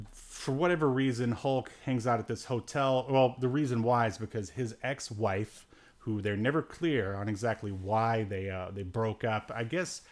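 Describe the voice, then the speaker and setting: A man hosting a podcast, casually reviewing a movie in a rambling, joking way with sarcastic asides. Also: fairly low pitch. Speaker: podcast host.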